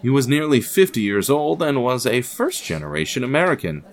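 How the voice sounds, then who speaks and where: A man's voice: mid-range voice, big pitch swings, fairly quick. A man reading scripted narration as the narrator of an audio production.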